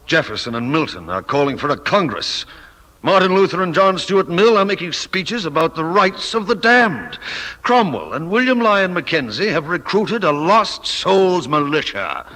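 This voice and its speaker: An actor in a 1950s satirical radio play playing an imperious, exasperated devil who blusters and rages. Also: male voice, a little high, very animated, moderate pace, sharp tone. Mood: exasperated, ranting. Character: furious, imperious devil, exasperated ruler of the damned. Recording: old radio recording with long reverb.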